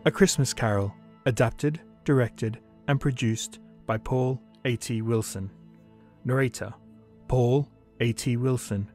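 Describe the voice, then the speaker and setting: A man narrating a scripted story in a measured, dramatic way. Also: medium pitch, darkish tone, soft background music.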